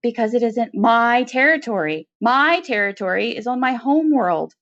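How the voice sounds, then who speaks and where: A woman's voice: fairly high pitch, animated, moderate pace, fairly bright tone, highs cut off. A woman playing a desperate, wily female convict in a story reading, terse and persuasive. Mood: urgent, persuasive.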